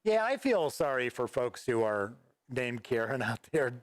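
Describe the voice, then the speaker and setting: A man's voice: medium pitch, sing-song, unhurried, dry recording. Rambling man hosting a livestream. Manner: rambling, reads viewer chat aloud and responds offhandedly. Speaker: host of a gaming news livestream. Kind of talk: casual livestream chat. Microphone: studio microphone at a desk.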